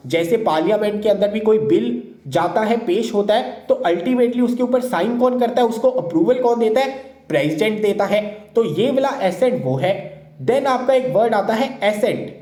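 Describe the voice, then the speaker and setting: A man explains word pairs in a steady teaching lecture, with a mellow, warm tone. He keeps posing a question and answering it at once. The sound bounces around a roomy space. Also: high voice, normal speed. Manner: repeats questions then answers them. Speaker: English teacher for exam prep. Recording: roomy echo.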